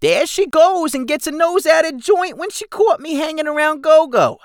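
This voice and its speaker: A man voicing a big, bald, complaining male goblin in a storybook reading, with a high, squeaky voice and a whining, rambling manner. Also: expressive, moderate pace, no room sound, studio quality. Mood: whiny rambling. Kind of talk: audiobook character voice.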